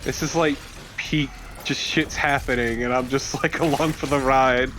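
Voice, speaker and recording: A man's voice: medium pitch, fairly even intonation, moderate pace, music in the background. A man giving casual, joking and sweary co-commentary on a video game. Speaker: let's play co-commentator.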